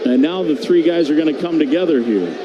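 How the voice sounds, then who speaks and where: An American man works as a live basketball play-by-play announcer. His voice sits a bit high for a man, and he describes the action in short, clipped running phrases. Heavy arena noise fills the space behind him. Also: animated, a little fast, dull audio. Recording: very noisy arena background. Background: arena crowd and game ambience.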